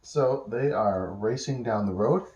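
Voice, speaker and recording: A man's voice: lowish voice, roomy sound, lo-fi sound. Man telling an improvised bedtime story. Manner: relaxed, pausing often, answering interruptions.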